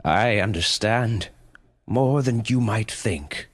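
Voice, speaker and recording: A man's voice: on the low side, pitched above the performer's own voice. A man doing a grave, solemn giant panda. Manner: slow, measured, speechmaking. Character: panda leader, male, huge, grave and solemn.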